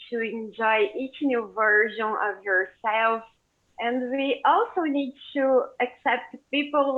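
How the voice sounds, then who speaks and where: A woman giving careful, prepared answers as an author being interviewed. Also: on the high side. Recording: tinny phone-like call line.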